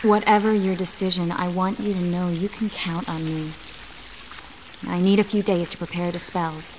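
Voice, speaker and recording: A woman playing an earnest, caring young sorceress in a video game, speaking in a measured way. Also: fairly low pitch, fairly even intonation, darkish tone, a little hiss or hum, natural voice. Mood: earnest, devoted.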